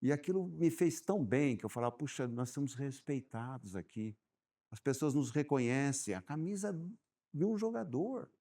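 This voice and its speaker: Dark-voiced man telling stories. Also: medium pitch, moderate pace. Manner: animated storyteller, repeats words while thinking. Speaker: guest business owner. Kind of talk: casual podcast chat.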